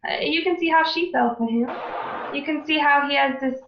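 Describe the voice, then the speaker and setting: Woman acting high-voiced, flustered gangster's girlfriend. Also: moderate pace, noisy background. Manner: hesitant, backtracking. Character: flustered, starry-eyed young gangster's girlfriend. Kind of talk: amateur radio drama reading. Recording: roomy, dull lo-fi home connection.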